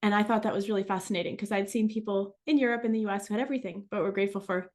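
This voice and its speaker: A middle-aged woman who grew up in France and speaks French natively gives an informal talk. Her pitch sits in the middle, and she speaks in a personal, reflective way, as a researcher sharing her experience with listeners. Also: steady tone, racing, a little mellow.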